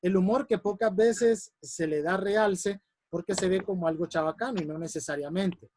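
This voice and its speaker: A man with a strong voice teaching a public speaking workshop, animated and repeating examples for emphasis. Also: high voice, moderate pace, very noisy. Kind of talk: workshop lesson. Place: classroom or online lesson.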